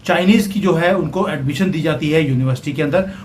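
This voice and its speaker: A man comments on the news conversationally in a slightly high voice. The room echoes around him, with faint noise underneath. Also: a little fast. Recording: roomy echo, faint background noise.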